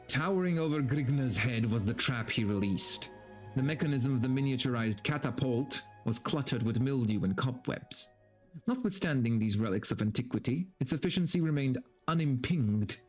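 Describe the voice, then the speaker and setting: A man's voice: medium pitch, normal speed. Theatrical man reading aloud over music. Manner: theatrical, profane, amused asides. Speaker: streamer reading a story aloud. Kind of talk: dramatic reading with casual, incredulous commentary. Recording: background music under the voice. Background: video game music.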